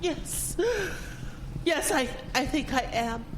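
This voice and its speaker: An actress playing a bewildered, frightened woman in a haunted-house audio drama, speaking haltingly and plaintively. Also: high-pitched, background noise. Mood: dazed. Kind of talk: audio horror drama acting. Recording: echoing hall, loud music underneath.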